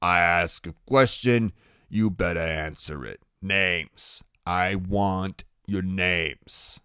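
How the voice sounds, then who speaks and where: A man's voice: low-pitched, takes it slowly, sharp tone, clean tone, no echo, studio quality, full character voice. A man voicing a big, tattooed biker gang boss in an audiobook, curt, mocking and commanding. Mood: interrogating.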